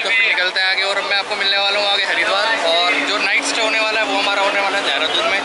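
A young man chatting casually to viewers as a travel vlogger, often checking in with them, recorded outdoors on a handheld camera. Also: sits high. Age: young adult. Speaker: travel motovlogger. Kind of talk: casual vlog chat. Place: outdoors at roadsides, underpass and street market. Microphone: camera held at arm's length. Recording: noisy outdoor street with traffic.

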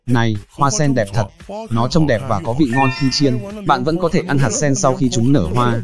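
A man's voice: mid-range voice, moderate pace, music in the background, lightly acted. A man voicing a naive, food-loving young student in a cartoon, with a plaintive manner. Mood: naive, eager. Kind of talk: animated dubbing.

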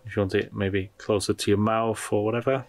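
A man with a low voice and plenty of gravelly creak talks through a product as he handles it. His delivery is informal and unscripted, like someone thinking aloud during a casual unboxing. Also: level tone, a little fast, no echo. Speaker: product reviewer.

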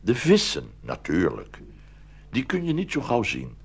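Older style storybook narration from a deep husky guy, slow but very expressive. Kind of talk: scripted fairy-tale narration.

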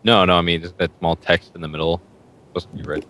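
A man's voice: sits low, little pitch movement, quick pace, pushed and bright. A man chatting casually and reading a script aloud with friends, somewhat hesitantly. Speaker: amateur participant in a group script reading.

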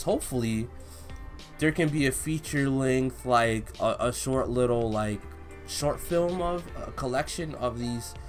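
A young man hosts a casual reaction in an animated voice that swings widely in pitch. His talk is slangy and full of gushing enthusiasm. Soft music plays behind him in a home room. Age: young adult. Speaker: reaction video host. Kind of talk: excited casual reaction commentary, reading manga aloud. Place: home room with bookshelf, indoors.

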